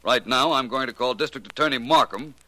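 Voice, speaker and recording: An actor playing a suave, skeptical private investigator in a 1940s radio drama, with a crisp, formal, coolly ironic way of talking. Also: male voice, mid-range voice, fairly quick, very noisy, lightly acted. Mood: brisk, grave. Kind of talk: 1940s radio detective acting. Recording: vintage 1940s radio recording.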